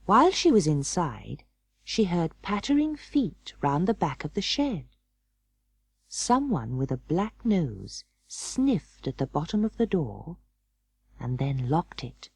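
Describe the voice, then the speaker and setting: A woman reads a children's storybook aloud with dramatic expression. Her voice sits a little low but swings widely in pitch. She takes her time over each phrase, in a very dry recording. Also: very animated, slow pace, creaky, a little mellow, slightly rough, no echo. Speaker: audiobook narrator.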